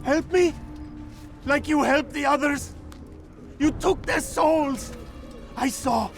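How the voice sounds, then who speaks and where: A man's voice: very high voice, slow pace, soft background music, heavily acted. An actor playing a frantic, desperate male patient in a video game, crying out in distrust and panic. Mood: frantic terror. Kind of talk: video game cutscene acting.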